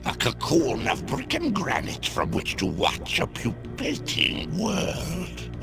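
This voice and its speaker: A monstrous insect vampire, gravelly hissing, slow taunting drawl, wildly swooping pitch, game music underneath. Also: male voice, huge pitch swings, heavily acted. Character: monstrous insectoid male vampire, sneering, hungry. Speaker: villain.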